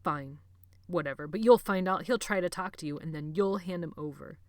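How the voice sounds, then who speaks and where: A woman's voice: on the low side, fairly quick, dry recording, close to an everyday voice. Contemptuous boy leader, cold and commanding; woman reading the part. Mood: cold, scornful. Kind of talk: audiobook character voice.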